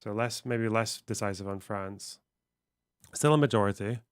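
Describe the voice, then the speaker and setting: A man's voice: lowish voice, moderate pace, clean tone, no room sound, studio quality. A man streaming, reading text aloud and musing on it casually, swearing offhandedly. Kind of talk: casual livestream commentary.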